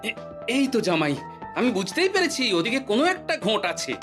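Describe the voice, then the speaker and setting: A woman's voice: fairly high pitch, big pitch swings, brisk. A woman voicing a put-upon, canny older father-in-law in a cartoon, grumbling and indignant, with music behind. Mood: canny, guarded. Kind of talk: cartoon voice acting.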